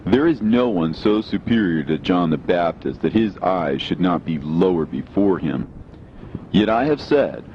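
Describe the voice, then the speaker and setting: A man with a deep voice narrates scripture in a solemn, measured, declamatory way. His pitch moves widely as he reads. The recording is dull, with a faint rumbling noise behind him.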